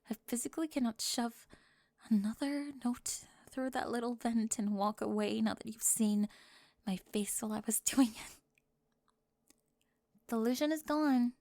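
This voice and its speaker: Woman acting a flustered, high-voiced teenage cheerleader. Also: mellow, dry recording. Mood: vulnerable, resigned. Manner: breathy half-whispers, stammering, nervous giggles. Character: flustered teenage cheerleader bully confessing a secret crush. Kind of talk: ASMR roleplay acting.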